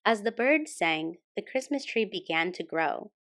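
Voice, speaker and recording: A woman hosting a storytime and reading a children's picture book aloud as expressive scripted narration. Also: mid-range voice, a little slow. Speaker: storytime host and reader.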